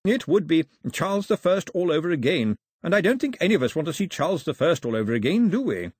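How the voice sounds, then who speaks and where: A man's voice: fairly high pitch, very animated, quick pace, a little mellow, husky, no echo, studio quality, pitched above the performer's own voice. A man voicing a formal, self-assured, urbane male servant of the crown in an audiobook. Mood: playful pomp. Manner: courtly, urbane. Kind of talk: audiobook character voice.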